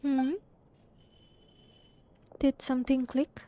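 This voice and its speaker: High-voiced woman game streamer. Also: monotone, drawn-out words, dark tone. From India. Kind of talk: casual live stream chat.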